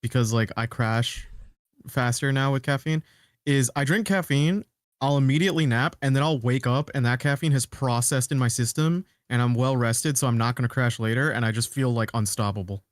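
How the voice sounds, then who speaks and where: A man's voice: medium pitch, moderate pace, no room sound, clean studio sound. A man chatting casually as a podcast co-host, joking in a wry, slangy way with some swearing.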